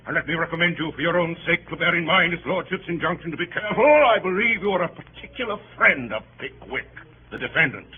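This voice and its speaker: An actor playing a pompous, bullying Victorian barrister in theatrical 1930s radio style, bombastic and sharply emphatic. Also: male voice, animated, quick pace, natural voice. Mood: bullying, impatient. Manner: bombastic, grandiloquent, sharply emphatic, swooping from hushed to booming. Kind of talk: 1930s radio acting, courtroom oratory. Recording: dull, noisy vintage 1930s radio recording.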